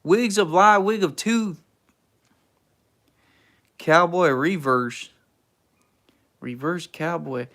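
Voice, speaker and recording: A man's voice: on the high side, extremely slow, long pauses, fairly bright tone. A young man from Texas, hosting a casual livestream and answering chat in a laid-back, slangy, teasing way. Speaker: livestream host reading chat.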